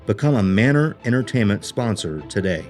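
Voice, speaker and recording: A man's voice: on the low side, very animated, a little fast, a little mellow, faint music behind. A man announcer reading scripted promotional narration.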